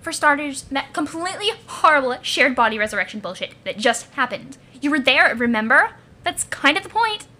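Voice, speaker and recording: A woman's voice: sits high, very animated, brisk, sharp tone, heavily acted. A woman voicing an indignant, foul-mouthed ghost troll with an exasperated, sarcastic, emphatic delivery.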